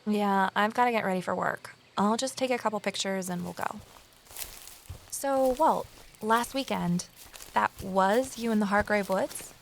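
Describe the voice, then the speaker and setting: An actress playing an anxious, determined amateur sleuth in an audio drama, earnest and introspective. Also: female voice, mid-range voice, a little slow, gravelly, a little hiss or hum. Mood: uneasy. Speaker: lead character and narrator. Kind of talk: naturalistic audio drama dialogue and narration.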